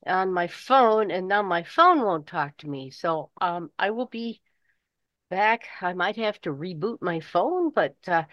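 A woman's voice: lowish voice, animated, a little slow, no echo. Woman on a dull online call. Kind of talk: casual chat. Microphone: online meeting call.

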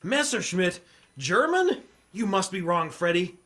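Hard-boiled radio noir hero. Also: male voice, high voice, unhurried, exaggerated voice. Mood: pressing, concerned. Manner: clipped, deadpan. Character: hard-boiled male adventurer reporter, wry and terse. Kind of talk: old-time radio noir acting and first-person narration.

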